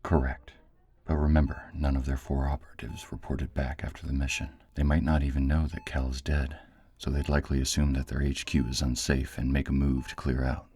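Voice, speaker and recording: A man playing a steady, authoritative director in an audio roleplay, measured and businesslike with dry care. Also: extremely low pitch. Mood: businesslike, urgent. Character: steady, authoritative male director planning a raid, dryly caring. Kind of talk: audio roleplay drama acting.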